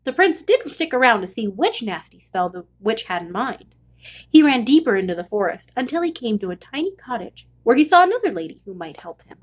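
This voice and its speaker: A woman reading a children's storybook aloud in an expressive storytelling style. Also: on the high side, brisk. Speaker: storybook reader.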